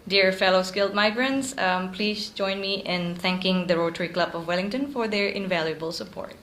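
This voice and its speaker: A woman's voice: medium pitch, normal speed, a bit bright, echoey room, a little hiss or hum. Indonesian woman giving an earnest talk. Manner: earnest, with frequent ums. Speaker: guest speaker. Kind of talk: prepared speech at a club meeting.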